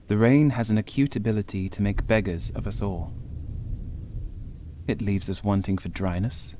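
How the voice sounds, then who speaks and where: A man reading a scripted first-person gothic horror story in a measured, literary manner. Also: fairly low pitch, fairly even intonation, dark tone, slight background noise. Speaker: audiobook narrator.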